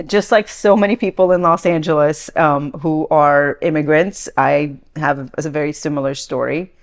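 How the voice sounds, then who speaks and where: A woman's voice: low voice, fairly even intonation, moderate pace. Earnest woman from Iran reflecting on her past. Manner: earnest, thoughtful, many restarts and fillers. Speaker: guest. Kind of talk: reflective interview talk.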